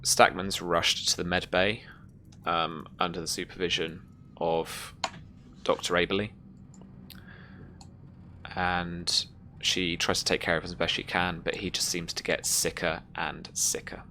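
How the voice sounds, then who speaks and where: A woman narrating a tabletop sci-fi horror game as game master, halting as if searching through notes. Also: very deep voice, little pitch movement, pushed and bright, a little hiss or hum.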